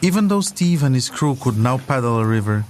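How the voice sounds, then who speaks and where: A man's voice: medium pitch, very animated, brisk, over a noisy crowd. Man narrating a documentary over noisy ambience. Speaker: podcast narrator.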